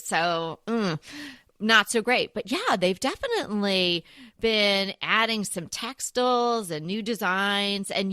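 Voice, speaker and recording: A woman's voice: mid-range voice, pushed and bright, dry recording, clean studio sound. A woman co-hosting a casual home decor chat, describing items in a chatty, enthusiastic way. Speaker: co-host.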